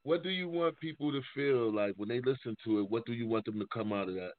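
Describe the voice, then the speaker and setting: A man hosting an informal music interview, halting and often rephrasing his questions mid-sentence. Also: medium pitch, no echo. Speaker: interviewer, music show host. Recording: muffled phone-like sound.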